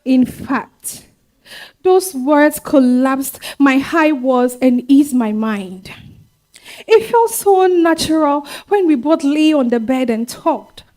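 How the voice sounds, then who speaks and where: A woman's voice: sits high, expressive, takes it slowly, darkish tone. A woman with a Ghanaian accent playing an emphatic, wronged young wife and mother in a dramatized stage reading. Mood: tender, wistful. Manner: emphatic, exclamatory, with Ghanaian interjections. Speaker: stage actor. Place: live staged reading at a festival.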